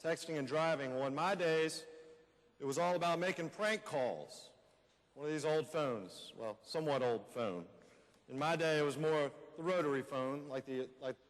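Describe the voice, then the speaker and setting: Man playing folksy reminiscing character, conversational stage monologue, slightly high male voice, long hall reverb. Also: moderate pace, close to an everyday voice. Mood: chatty, reminiscing. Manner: conversational, addresses audience with rhetorical questions. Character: Jerry Galt, folksy man recalling his teenage trouble with the law. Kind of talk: first-person storytelling monologue in a readers theater.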